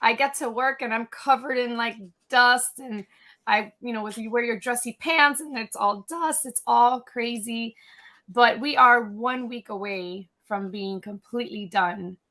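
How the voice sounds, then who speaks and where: Upbeat woman host with a higher voice. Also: a little slow, sharp tone. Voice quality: upbeat, gushing. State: losing her voice, a bit cracky. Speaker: podcast host. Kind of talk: casual podcast chat.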